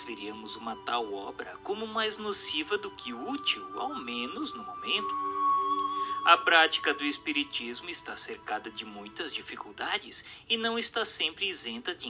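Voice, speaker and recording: Guy doing formal audiobook style narration, teacherly, really varied intonation, music behind him. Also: medium pitch. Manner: formal, measured, didactic delivery.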